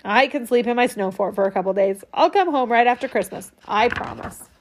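A woman's voice: a little high, brisk, slight background noise, natural voice. A woman voicing a tearful young boy who sniffles and pleads through his crying.

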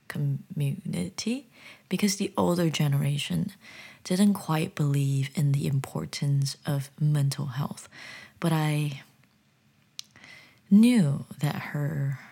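A young woman speaks low and hushed, her breathy voice slipping into whispers again and again. She tells her story gently and reflectively. The tone stays close and intimate. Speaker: storyteller sharing personal experiences. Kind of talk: soft-spoken personal storytelling.

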